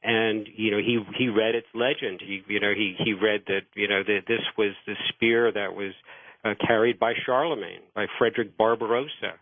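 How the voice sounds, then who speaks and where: A man explaining history as a radio interview guest, halting and repeating words as he starts phrases, over a narrow phone line. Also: fairly low pitch, steady tone, no room sound.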